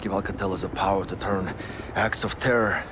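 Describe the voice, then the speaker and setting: An actor playing a grave, deliberate military sergeant in a military game. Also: male voice, low-pitched, fairly even intonation, background noise, natural voice. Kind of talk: cinematic military game acting.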